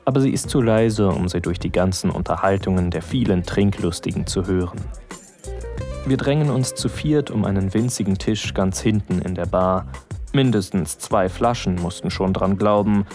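Low, gravelly male best friend, smitten, acted by a man. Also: a little mellow, lightly acted. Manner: addresses the listener as you, musing. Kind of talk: intimate first-person erotic audio drama narration.

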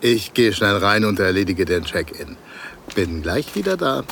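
A man's voice: lowish voice, sing-song, a little slow, gravelly, a bit bright, slight background noise, full character voice. A man playing an affectionate, confident middle-aged husband in casual audio drama dialogue. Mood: relaxed, affectionate.

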